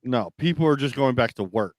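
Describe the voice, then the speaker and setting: A man's voice: mid-range voice, animated, fairly quick, no room sound, poor audio. A man co-hosting a talk show, chatting casually and giving opinions in a blunt, profane way. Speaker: talk radio co-host.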